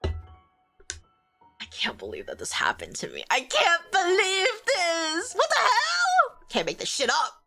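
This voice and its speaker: A young woman streaming a romance game, congested from a cold, reacting excitedly between stretches of reading the game text aloud. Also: very high voice, slow, creaky, faint music behind. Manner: excitable, swearing, swings between loud outbursts and quiet reading. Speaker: streamer and vtuber.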